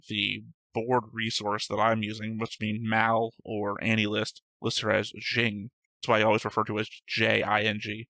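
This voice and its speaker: A man's voice: on the low side, gravelly, pushed and bright, dry recording. A man hosting a reaction show, reading notes aloud in casual chat and often correcting himself.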